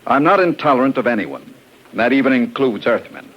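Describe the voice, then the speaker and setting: Casting: man as earnest, courteous scientist for a space radio play; mid-pitched, measured and formal, on a dull, noisy vintage recording. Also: expressive, natural voice. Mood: earnest, polite. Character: earnest, polite male galactic archaeologist, narrating his account. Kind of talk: 1950s radio drama acting.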